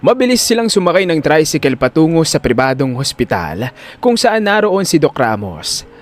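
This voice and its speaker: Animated male audio drama narrator. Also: a little high, normal speed, faint music behind.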